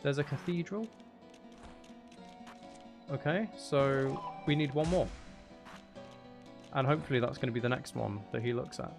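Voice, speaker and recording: A man with a mid-pitched voice talks casually as he streams a game. He rambles along, half to himself and half to his viewers, with faint music playing behind him. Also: normal speed, gravelly, long pauses. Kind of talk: casual live gaming stream chat.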